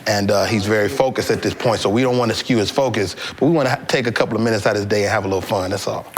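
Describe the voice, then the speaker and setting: A man visiting a boxing camp jokes and teases on camera in a playful, animated way. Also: fairly low pitch, racing, slight background noise. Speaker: visiting entertainer.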